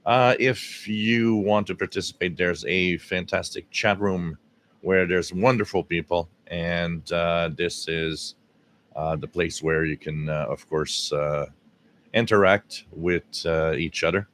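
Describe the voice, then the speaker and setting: A man from Quebec, Canada, hosting a casual live radio-hobby stream with a scratchy, hoarse voice, rambling with lots of fillers, recorded on a directional mic at home. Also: sits low, steady tone, slow, a bit bright. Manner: rambling, many fillers and restarts. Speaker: radio hobby livestream host. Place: home radio room with air conditioning running. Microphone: directional microphone.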